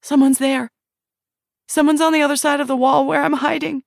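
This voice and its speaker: A woman's voice: high-pitched, level tone, a little fast, vocal fry, no room sound, clean studio sound, pitched above the performer's own voice. A woman voicing a terrified young woman who relives a childhood night as a small girl, speaking in a trembling present-tense recall.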